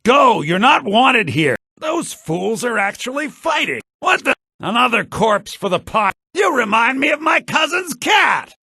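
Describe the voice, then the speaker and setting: A man playing a sly, grasping shopkeeper in a fantasy video game, with a raspy, theatrical delivery, in low-bitrate compressed audio. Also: big pitch swings, measured pace, pushed and bright, no echo, clean studio sound, exaggerated voice. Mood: alarmed, angry. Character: sly fantasy male shopkeeper, grasping, quick to yell at thieves. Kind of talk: video game character barks.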